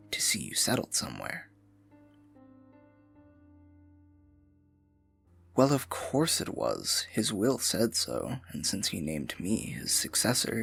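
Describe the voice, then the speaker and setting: Scheming fantasy royal advisor, male actor: gravelly, highly animated, intimate and patronizing, with soft music underneath. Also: heavily acted. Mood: teasing, condescending. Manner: silky, condescending, mock-sympathetic, often dropping to a murmur. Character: smooth-talking scheming male royal advisor, falsely sympathetic. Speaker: voice actor in an audio roleplay. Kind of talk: fantasy ASMR roleplay acting, intimate and theatrical.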